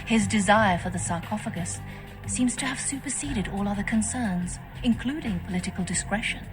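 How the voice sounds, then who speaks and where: A woman's voice: medium pitch, natural voice, low-quality recording. Woman acting a stately, scheming vampire leader. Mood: calm, calculating. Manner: formal, measured, stately. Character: composed, scheming, regal female vampire faction leader. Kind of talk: video game dialogue acting. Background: music.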